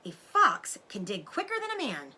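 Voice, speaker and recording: A woman reads as a bold father fox from a children's storybook, urgent and commanding. The voice is bright and swings widely in pitch, with emphatic, exclamatory delivery. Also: wildly swooping pitch, moderate pace, husky, exaggerated voice. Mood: urgent, excited.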